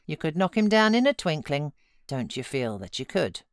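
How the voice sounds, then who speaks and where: A woman's voice: sits low, sing-song, dry recording, studio quality. A British woman voicing a motherly housekeeper who coaxes and scolds frankly in a storybook reading. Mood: coaxing. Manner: brisk advice, coaxing and chiding.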